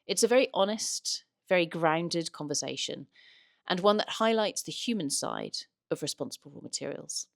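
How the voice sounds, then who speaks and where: A woman's voice: deep voice, expressive, normal speed, gravelly, dry recording, clean studio sound. A woman hosting a podcast, reading a composed intro and then asking interview questions. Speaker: podcast host.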